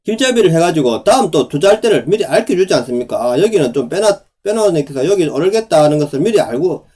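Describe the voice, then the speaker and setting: Hoarse man. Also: on the high side, big pitch swings, quick pace, dark tone. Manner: repeats "그죠?" to the viewer, rhetorical and insistent. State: hoarse, sore throat. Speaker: real estate commentator. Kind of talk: solo commentary on housing policy.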